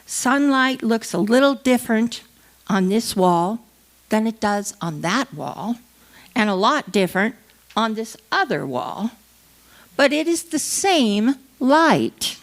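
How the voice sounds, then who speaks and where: Female reader, higher register, unhurried and measured, dramatic poetic recitation. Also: expressive. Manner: measured, deliberate phrasing. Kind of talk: slow dramatic poetry reading. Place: church service.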